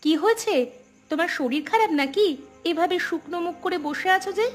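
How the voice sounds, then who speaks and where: A woman's voice: extremely high pitch, normal speed. A woman voicing a concerned village wife in a cartoon drama, questioning and insistent. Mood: concerned, probing. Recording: music clearly behind the voice.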